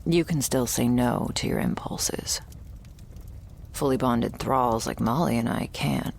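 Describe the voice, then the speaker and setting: A woman playing a wry, guarded young thrall in a vampire ASMR roleplay, gravelly and often slipping toward a whisper, with a dry, hesitant way of musing. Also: rumbling low, a little slow, darkish tone, a little hiss or hum, exaggerated voice.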